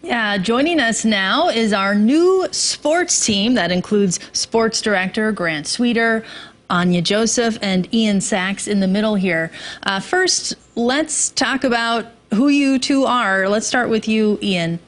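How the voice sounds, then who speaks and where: A woman's voice: fairly high pitch, slow pace. A woman anchoring the news, introducing and questioning guests in a welcoming, upbeat way. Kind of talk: live studio interview.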